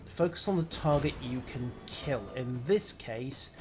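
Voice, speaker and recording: A man's voice: medium pitch, a little slow, a little mellow, soft background music. Dry-witted man narrating gameplay. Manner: dry, wry, muttering self-commentary. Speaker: game streamer. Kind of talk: live gameplay commentary.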